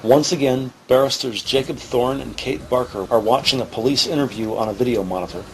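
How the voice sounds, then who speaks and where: A man's voice: mid-range voice, brisk, slight background noise, poor audio. A man narrating scenes of an audio drama from a script in a formal, descriptive way.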